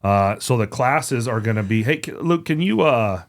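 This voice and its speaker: A man's voice: mid-range voice, expressive, moderate pace. A middle-aged man co-hosting a podcast, talking informally with folksy phrasing and the odd swear word. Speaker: podcast co-host. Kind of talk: casual podcast chat.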